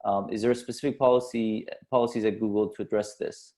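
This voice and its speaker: A man's voice: lowish voice, fairly even intonation, quick pace, a little mellow. A man moderating a conference session, reading out audience questions with hesitant fillers.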